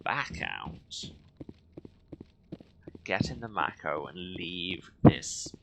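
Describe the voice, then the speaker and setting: A man's voice: low voice, expressive, very slow. A man giving casual, wry game commentary as a let's play streamer, with sarcastic asides. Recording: clear game noise behind the voice. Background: video game sound.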